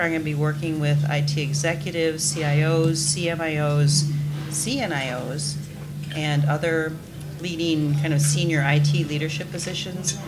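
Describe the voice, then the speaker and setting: A soft-voiced woman with a very low pitch introduces herself on a panel. She speaks gently, with light laughing asides. Loud room noise runs behind her the whole time. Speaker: recruiter.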